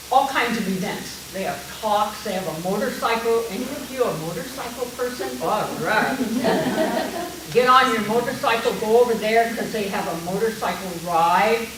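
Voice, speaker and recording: A woman in her seventies with a big voice, a retired teacher telling a small group about herself in a lively, joking way. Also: mid-range voice. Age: 70s. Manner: lively, chatty, jokey storyteller. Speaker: guest speaker, art teacher. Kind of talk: casual talk to a small group. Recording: echoey distant room sound with hiss.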